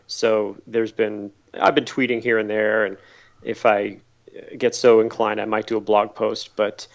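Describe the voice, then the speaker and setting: A middle-aged man from Massachusetts, a podcast guest telling stories at a relaxed pace and often repeating words as he thinks. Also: fairly low pitch, unhurried, vocal fry, lo-fi sound. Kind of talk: conversational interview answers.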